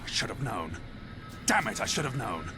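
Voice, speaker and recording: An actor voicing a grave, resolute army commander in a video game, formal and authoritative in manner. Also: male voice, very animated, moderate pace. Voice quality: low-pitched. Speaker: video game character, military officer. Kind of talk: cinematic game voice acting. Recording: game music and rumbling effects behind.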